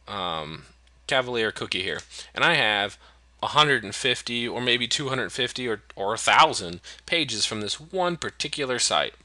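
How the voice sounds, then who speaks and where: Lowish, bright-toned man explaining software. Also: dry recording. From United States. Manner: relaxed and explanatory, many "um"s and restarts. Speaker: software tutorial presenter. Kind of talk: screen-recorded software walkthrough.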